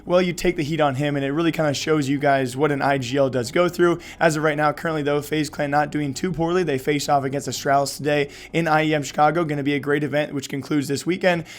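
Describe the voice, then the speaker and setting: A man's voice: fairly high pitch, fast, few pauses. A man hosting an esports news show, talking energetically while losing his voice. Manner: energetic, many fillers. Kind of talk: fast esports news commentary.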